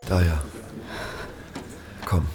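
A middle-aged man playing a caring, commanding godfather in an audio drama, giving short, gentle orders. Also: very slow. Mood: protective. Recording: clearly noisy ambient scene.

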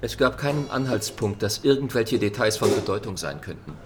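A man's voice: fairly low pitch, lively intonation, a little fast, slight background noise, close to an everyday voice. A man playing a guarded army doctor in a radio drama, speaking in a formal, clinical, evasive way.

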